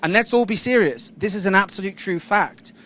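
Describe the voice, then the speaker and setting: A man giving a loud, confident startup pitch on a moving tram, bantering with hecklers. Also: fairly quick.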